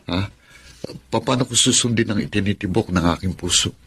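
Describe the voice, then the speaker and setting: An actor playing Crispin, a wistful Filipino man pining for a taken woman, sentimental and given to florid sayings in radio drama style. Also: lowish voice, moderate pace, a bit bright, dry recording, heavily acted. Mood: rueful banter. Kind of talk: Tagalog radio drama acting. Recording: background music under the voice.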